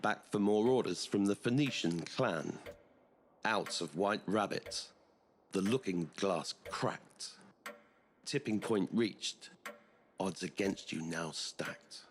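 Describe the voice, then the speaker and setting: A man reciting a spoken-word poem in a slow, ominous, rhythmic way. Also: sits low, a little hiss or hum.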